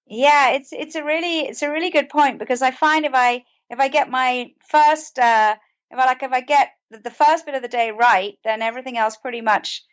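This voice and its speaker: A middle-aged British woman with a fairly high voice talks as a guest in an interview. She speaks conversationally, as someone giving a personal account. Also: moderate pace.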